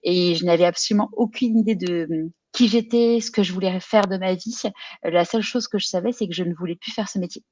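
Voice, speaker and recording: A woman's voice: fairly low pitch, fairly quick, a little mellow, dull audio. A woman of forty from Paris, with an accent from France, telling her story in an interview in short, hesitant phrases. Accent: French from France. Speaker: podcast guest, career coach and entrepreneur. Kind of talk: personal account in a conversational interview.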